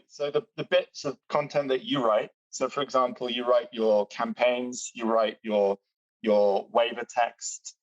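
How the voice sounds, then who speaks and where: British guy explaining booking software, kinda far off mic, muffled sound. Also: mid-range voice, no echo. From Britain. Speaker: software company executive. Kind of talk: explaining product features in a podcast chat. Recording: distant dull-sounding mic, dry.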